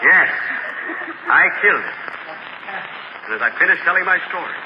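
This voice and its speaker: A man's voice: fairly high pitch, lively intonation, moderate pace, a loud crowd, heavy background noise. A man giving mock-solemn, melodramatic narration in a 1940s radio comedy sketch. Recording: muffled old radio broadcast with audience.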